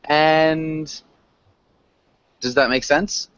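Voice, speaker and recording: A man's voice: fairly high pitch, steady tone, very slow, fairly bright tone, clean tone. A man teaching an interactive online vocabulary class, encouraging students and prompting them with questions. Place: online group video class. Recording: dull, lo-fi online call audio.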